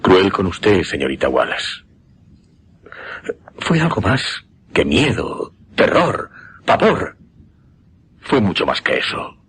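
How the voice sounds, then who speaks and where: An actor with an accent from Spain playing a shaken, courteous gentleman who hesitantly recounts a supernatural horror in a radio drama. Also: low-pitched, huge pitch swings, slow pace, long pauses, breathy edge, heavily acted. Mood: haunted, solemn.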